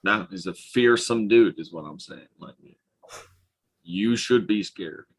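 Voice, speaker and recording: Low-pitched, foul-mouthed man in his thirties. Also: slow pace, slightly rough. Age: 30s. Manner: profane, blunt slangy talk. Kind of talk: casual chat, bantering.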